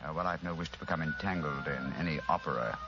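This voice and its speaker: An actor playing an urbane, dryly witty English gentleman detective in an old-time radio drama, with an English accent and precise, suave formality. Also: rumbling low, quick pace, over loud music. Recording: muffled old radio recording, noisy.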